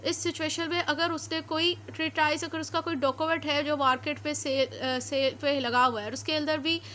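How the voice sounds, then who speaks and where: Very high-pitched Pakistani woman lecturing. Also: steady tone, normal speed, pushed and bright, slight background noise. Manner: didactic, repeats and rephrases points. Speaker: law lecturer. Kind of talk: explanatory lecture mixing Urdu with read-out English statutes.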